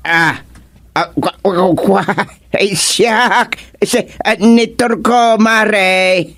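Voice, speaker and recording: An actor with an Ilocano accent playing an excitable, scheming village official in a radio comedy, hemming and hawing as he talks. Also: high-pitched, extremely slow, exaggerated voice. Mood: flustered.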